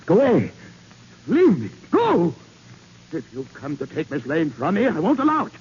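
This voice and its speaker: An actor playing a deranged, cornered former surgeon in a 1940s radio drama, speaking in a theatrical, grandiose, agitated way. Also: male voice, on the high side, huge pitch swings, normal speed, a little mellow, slightly rough, exaggerated voice. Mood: furious, threatening. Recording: scratchy, dull 1940s radio recording.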